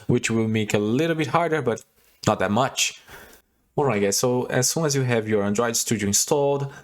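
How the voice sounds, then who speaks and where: A young man from Brazil with a Brazilian Portuguese accent, casually walking through a coding tutorial with frequent fillers and restarts. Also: fairly low pitch. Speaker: tutorial host.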